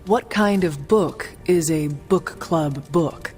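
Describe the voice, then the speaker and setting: A woman playing a curious ancient warrior in a video game, speaking in a formal, literal, measured manner. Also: low voice, darkish tone, soft background music. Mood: puzzled, measured. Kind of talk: video game cutscene acting.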